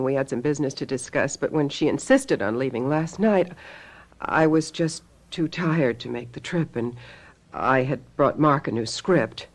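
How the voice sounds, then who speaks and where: An actress playing a shrewd, menacing sister-in-law who claims to be a witch, in a radio mystery drama, with a husky voice and a deliberate manner. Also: low voice. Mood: composed, explaining.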